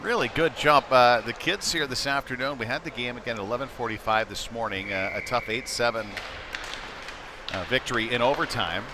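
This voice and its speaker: A man doing live, conversational play-by-play commentary of youth hockey, excitable on big plays. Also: mid-range voice, fairly quick. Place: indoor ice rink, live broadcast. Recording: clear background noise of sports arena.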